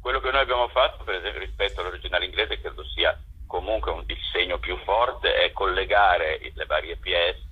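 Theatre director guest calling in; low, breathy voice, halting delivery, phone-quality audio. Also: male voice. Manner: hesitant, repeats words while searching. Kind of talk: radio interview by phone. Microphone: telephone. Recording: tinny phone line.

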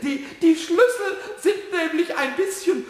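A man playing a cheeky, excitable little kobold in a children's radio play, squeaky and eagerly repeating words. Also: measured pace, reverberant, big-room sound. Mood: gleeful, mock-posh.

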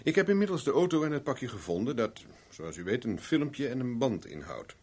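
A self-assured private investigator in a seventies radio play. His pitch swings widely as he probes with dry irony, and the voice has a slightly husky, rough edge. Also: very animated, fairly quick, a little mellow. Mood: businesslike, explanatory. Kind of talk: 1970s Dutch radio drama acting.

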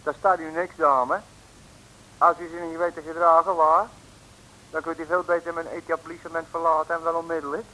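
A man's voice: fairly high pitch, flat delivery, brisk, bright, forceful tone. An actor playing an indignant, blustering, shady male innkeeper who scolds huffily in a 1950s radio serial. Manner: huffy, scolding. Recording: hissy, noisy old radio recording.

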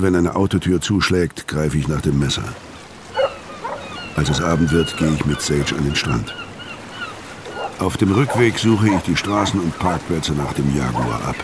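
An actor playing a weary, haunted older ex-convict, narrating a radio crime drama in a brooding, measured way. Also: male voice, deep voice, heavy background noise. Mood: tense. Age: 50s. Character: weary, haunted older male ex-convict telling his story.

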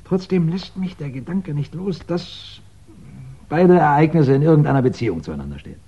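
An actor playing a courteous, probing police inspector in a 1960s radio crime drama, speaking deliberately with thoughtful pauses. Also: male voice, on the high side, lively intonation, slightly rough. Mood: pondering, probing. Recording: dull, band-limited 1960s radio recording.

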